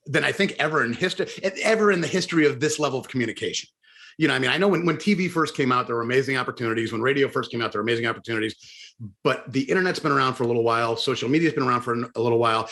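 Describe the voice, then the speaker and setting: A man's voice: medium pitch, expressive, rapid-fire, creaky, a bit bright. A man hosting a podcast interview in a casual, enthusiastic, chatty way. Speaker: podcast host.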